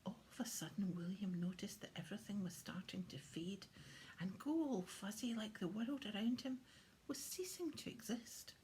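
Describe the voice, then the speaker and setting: A woman reads a children's story aloud as an audiobook narrator. Her voice sits at a medium pitch and her delivery is expressive, with some faint noise behind it. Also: normal speed, poor audio. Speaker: audiobook reader. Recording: clear background noise.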